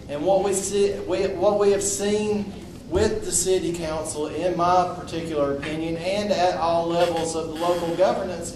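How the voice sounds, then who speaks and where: Loud outspoken man, echoey room. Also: fairly high pitch, distant mic. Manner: loud, outspoken, with frequent restarts. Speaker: invited speaker at a public meeting. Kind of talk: impromptu public address. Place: public meeting room. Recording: echoey room recorded from afar, noisy.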